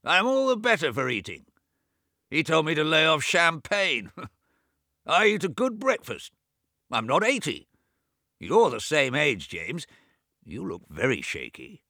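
A man's voice: fairly high pitch, very animated, a little slow, long pauses, pushed and bright, no room sound, clean studio sound, pitched above the performer's own voice, full character voice. A man reading aloud voices an ailing, boastful old man in his late seventies who complains petulantly. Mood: irritable. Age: over 70. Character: querulous sick old man, bedridden and boastful.

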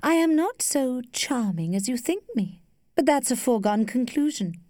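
A woman voicing a worldly, flirtatious lady who teases with cool indulgence in a measured, studied way, as in a storybook. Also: high-pitched, very animated, mellow, no room sound, studio quality, pitched above the performer's own voice. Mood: earnest, rueful. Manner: studied, measured, archly playful.

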